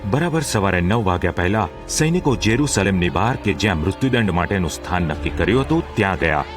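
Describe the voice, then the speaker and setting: Low-voiced male storyteller over music. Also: animated, fast. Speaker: narrator. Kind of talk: scripted dramatic Bible story narration. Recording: music behind the narration.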